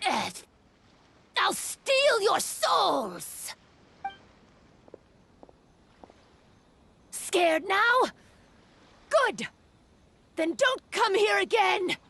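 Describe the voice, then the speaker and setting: A woman's voice: sits high, sing-song, very slow, long pauses, fairly bright tone, dry recording, full character voice. A woman voicing a haughty, prickly young female yokai with curt delivery in an English game dub. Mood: blustering threat. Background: faint music.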